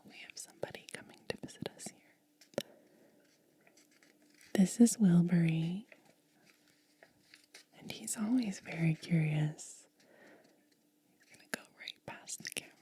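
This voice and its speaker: Female ASMR host for whispered unboxing: very mellow, breathy, unhurried and hushed. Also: fairly low pitch, no echo. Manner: hushed, lingering delivery.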